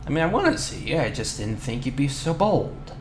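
A man playing a flustered, defensive teenage bully with a crush in an ASMR boyfriend roleplay, talking in a halting, sheepish, slangy way. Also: medium pitch, big pitch swings. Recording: roomy echo, clear background noise.

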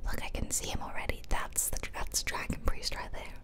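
A woman hosts gaming ASMR in a soft, unvoiced whisper right at the microphone. She chats casually and playfully about her game, poking fun at herself. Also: fairly quick. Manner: playful, self-deprecating, chatty. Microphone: close ear-to-ear ASMR microphone.

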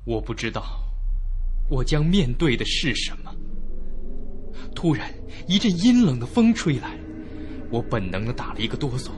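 A man's voice: mid-range voice, moderate pace, long pauses, slight background noise, natural voice. An actor playing an uneasy young man, telling a ghost story in a suspenseful, dramatic first-person style. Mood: suspenseful, fearful. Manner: suspenseful, expressive. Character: uneasy young man narrating his haunted inn ordeal.

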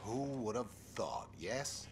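Sly male terrorist chief for a game: theatrical, animated, lowish, with clear background noise. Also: slow pace. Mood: gloating, sly. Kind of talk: video game cutscene acting.

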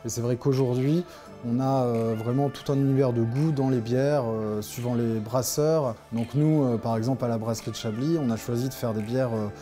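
Male, 30s, craft producer in an explanatory interview. Medium pitch, dark relaxed timbre. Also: normal speed, faint music behind. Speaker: brewery co-manager. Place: indoors in a brewery tasting room.

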